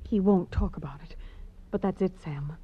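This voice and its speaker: An actress playing an anxious, evasive wife, hesitant and earnestly pleading in a 1940s radio melodrama. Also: female voice, on the low side, normal speed, husky. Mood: confessional, desperate. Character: anxious, evasive married woman, detective's pleading client. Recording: dull, dry vintage radio recording.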